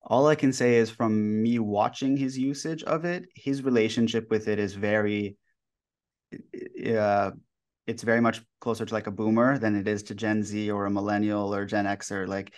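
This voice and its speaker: A young man in his twenties from the United States, a guest author talking casually in an interview from a bright room at home over a video call, relaxed and full of fillers. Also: on the low side, moderate pace. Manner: relaxed, loose, with many fillers and restarts.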